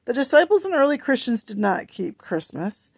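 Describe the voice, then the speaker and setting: A woman's voice: fairly high pitch, big pitch swings, normal speed, no room sound, voice pushed up. A woman reading a sober, lecturing parson. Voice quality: sermon-like, lecturing. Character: sober, learned Puritan parson, a man.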